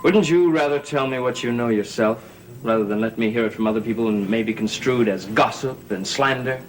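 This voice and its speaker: A man's voice: medium pitch, a little fast, a little hiss or hum, lightly acted, poor audio. An actor playing an intense, insistent, earnest man in a gothic soap opera. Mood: stern. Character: intense, determined man investigating, protectively devoted lover.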